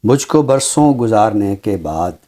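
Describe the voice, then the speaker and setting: Pakistani guy talking literature, lowish mellow dark voice, formal n deliberate, repeats stuff for emphasis. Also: sing-song. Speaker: literary commentator on a talk show. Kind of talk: measured, formal literary discussion and poetry recital.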